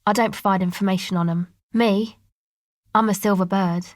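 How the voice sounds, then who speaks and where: Woman playing young female crew leader, video game dialogue, guarded, casual, slangy, understated, medium pitch, close dry sound. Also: steady tone, moderate pace, dry recording, close to an everyday voice. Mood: guarded, cool.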